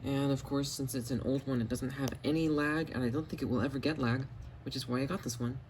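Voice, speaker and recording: A man's voice: medium pitch, fairly even intonation, fast talker, heavy background noise. A man giving a casual hands-on product demonstration on a home camera recording. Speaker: video host, hobbyist collector. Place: indoors in a home basement.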